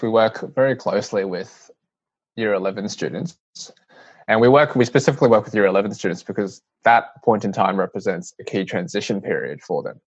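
A young adult man with an Australian accent, answering interview questions in an earnest, measured way with frequent restarts. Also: lowish voice, breathy edge, no echo. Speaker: guest, CEO of a youth-led charity. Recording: dry, slightly muffled audio.

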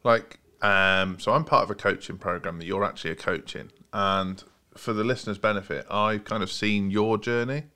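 Man, very deep voice, podcast host, easygoing, jokey. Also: normal speed, clear, resonant tone. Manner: relaxed, jokey, hesitant with fillers. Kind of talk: casual podcast interview.

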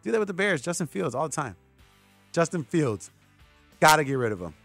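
A man's voice: on the high side, big pitch swings, a little fast, a bit bright, no room sound, faint music behind. A man hosting a sports radio show in a casual, conversational, loosely rambling way, close on a studio microphone. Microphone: close broadcast microphone.

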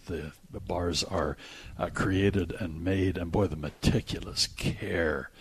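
A man's voice: low voice, fairly even intonation, takes it slowly, slight background noise. A man hosting a radio show with casual, chatty enthusiasm, repeating phrases for emphasis.

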